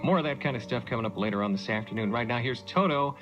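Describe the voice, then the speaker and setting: A man's voice: medium pitch, fast talker, over loud music. A young American man working as a radio disc jockey with casual announcing and banter, heard on an old lo-fi aircheck. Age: young adult.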